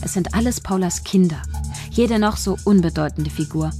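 An actress playing a shy young writer who narrates her own story in a radio drama, measured, wry and observant. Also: lowish voice, mellow, faint music behind, close to an everyday voice. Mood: resentful. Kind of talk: intimate first-person radio drama narration.

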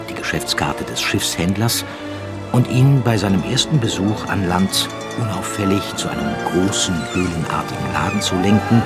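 A man narrating a literary radio drama in a measured storytelling manner, reading from a script. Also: low-pitched. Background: music behind the voice.

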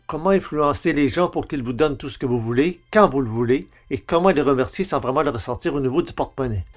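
A man's voice: mid-range voice, fast, faint music behind, lightly acted. Hapless, theatrical comic everyman played by a man. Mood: eager, theatrical. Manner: hesitant, theatrical, exasperated. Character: hapless, grumbling everyman, failed singer turned bookseller. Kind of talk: comic old-time radio acting in French.

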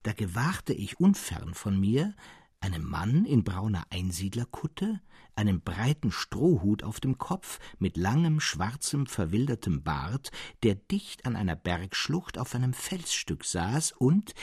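A man narrates literary fiction in a lowish, husky voice. His reading is scripted, measured and dramatic. The recording is dry and close, without room sound. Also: darkish tone, dry recording. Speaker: narrator, reader.

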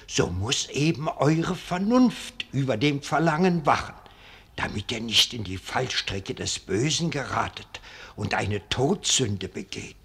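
A man's voice: medium pitch, vocal fry, fairly bright tone, husky, heavily acted. An actor playing an ancient, pious abbot in a 1940s radio play, speaking in solemn, fatherly, archaic phrases. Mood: grave admonishing. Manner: slow, solemn, archaic clerical speech. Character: ancient, pious abbot, hundred years old, grave and fatherly.

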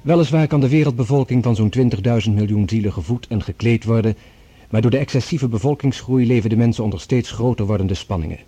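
A man narrating a radio drama from a script in a measured, formal manner as announcer. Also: mid-range voice, mellow, husky.

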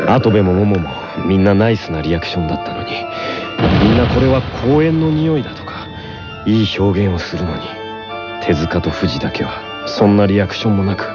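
Anime radio drama: man as obsessive young schemer, low, gloating, muttered monologue, dull recording. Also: animated, moderate pace, over music. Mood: frustrated.

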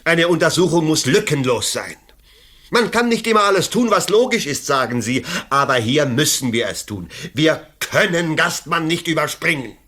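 A man's voice: fairly high pitch, sing-song, moderate pace, sharp tone, full character voice. A young actor with a Swiss German accent playing an ambitious, bitter policeman in a radio play, urgent and pleading. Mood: insistent. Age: young adult. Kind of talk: 1980s radio play acting.

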